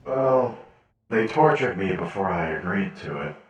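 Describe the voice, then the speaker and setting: A man playing a weary cyborg patient in an online game roleplay, halting and groping for words. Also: low voice, animated, highs cut off. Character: weary male cyborg prisoner recovering from brain surgery. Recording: reverberant, distant from the mic.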